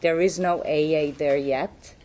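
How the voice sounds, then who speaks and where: Serbian-accented woman, very low voice, candid recovery share, slight background hiss. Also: fairly even intonation, a little slow, a little mellow. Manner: candid, reflective, with frequent fillers. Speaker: guest speaker. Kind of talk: personal recovery talk.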